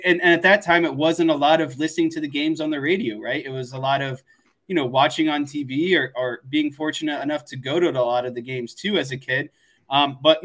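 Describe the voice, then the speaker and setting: Brief: male sports co-host, twenties, American, slightly high voice, casual and a bit hesitant. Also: fairly bright tone. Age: 20s.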